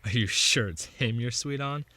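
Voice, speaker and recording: An actor playing a sardonic hooded spirit of death in a radio drama, with a mocking, deliberate delivery. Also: male voice, medium pitch, sharp tone, lightly acted.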